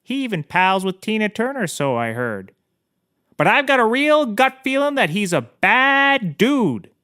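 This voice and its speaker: A man plays an eccentric, stubborn private eye in the dock of a comedy courtroom. His voice is bright and forceful, with very lively swings in pitch. He rambles on with absurd earnestness. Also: unhurried, clean tone, full character voice. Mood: insistent. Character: eccentric, stubborn, dim-witted male private eye on trial. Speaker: defendant on the witness stand. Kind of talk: scripted comedy courtroom acting.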